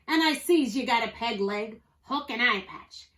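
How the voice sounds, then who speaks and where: A woman voicing a gruff, short-tempered male pirate captain in a children's storybook, bellowing in folksy pirate slang. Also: a little high, animated, a little slow, fairly bright tone. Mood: demanding, boastful.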